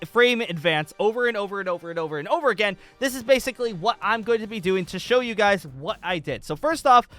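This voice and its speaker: A man's voice: high-pitched, pushed and bright. A young man giving a casual, rambling gaming tutorial, repeating phrases for emphasis. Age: young adult. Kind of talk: casual off-the-cuff tutorial commentary over gameplay. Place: indoor room at a computer desk.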